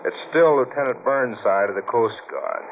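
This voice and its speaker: An actor playing a cool, sardonic Coast Guard lieutenant in a 1940s radio thriller, with a dry, unhurried delivery. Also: male voice, medium pitch, lightly acted. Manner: dry, unhurried, hesitant pauses. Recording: tinny, hissy 1940s radio recording.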